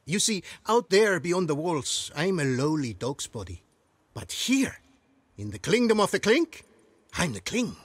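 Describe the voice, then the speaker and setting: An actor voicing a roguish, swaggering prison inmate in a video game, theatrical and chatty. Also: male voice, on the high side, very animated, unhurried, breathy edge, no echo, heavily acted. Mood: boastful, sly. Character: cocky, roguish male jailbird, self-styled prison king.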